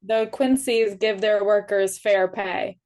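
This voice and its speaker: A woman's voice: medium pitch, fairly even intonation, slow pace, darkish tone, lightly acted. A woman reading the part of a guarded, principled sixteen-year-old emo shop worker, blunt and dry, in a drama table read. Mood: defensive.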